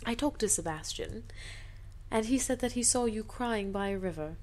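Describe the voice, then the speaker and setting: A woman's voice: a little high, lively intonation, moderate pace, darkish tone, natural voice. A woman voicing a stern, domineering male lover in a fanfiction reading, commanding and controlled. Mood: calculating, sad.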